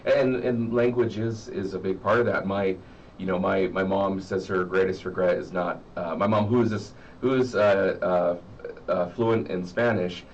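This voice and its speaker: Male interview guest, American, deep-pitched and searching for words, on a dull recording with faint noise. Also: fairly even intonation. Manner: halting, repeats words as he searches. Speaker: interview guest, horror story writer. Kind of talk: reflective conversational interview. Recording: muffled, distant mic with faint noise.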